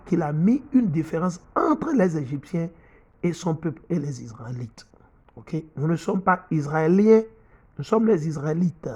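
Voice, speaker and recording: A middle-aged male preacher with a husky, somewhat high voice. He teaches forcefully, repeats points and keeps checking that listeners follow. His tone is pressing and insistent. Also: highs cut off. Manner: emphatic, repeats himself and asks "ok?" often.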